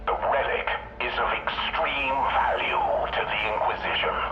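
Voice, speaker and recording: A stern, secretive male Inquisitor from a sci-fi game, played by an actor. He talks very slowly and with authority. The voice is dulled and echoing, with music underneath. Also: mid-range voice, slight background noise, close to an everyday voice. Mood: stern, guarded. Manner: deliberate, authoritative, clipped. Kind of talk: scripted video game voice acting. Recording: echoey, dull band-limited transmission, music behind.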